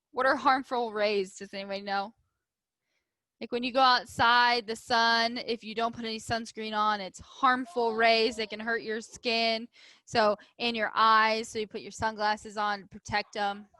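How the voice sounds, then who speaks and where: A woman's voice: on the high side, steady tone, unhurried, sharp tone, no room sound. A woman teacher reading aloud and leading a writing lesson for young children, explaining simply and asking them questions. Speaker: primary school teacher.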